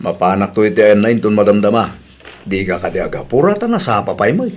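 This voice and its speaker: A man's voice: on the low side, animated, fast talker. A man with an Ilocano accent playing a considerate, trusting husband in a radio drama. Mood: questioning.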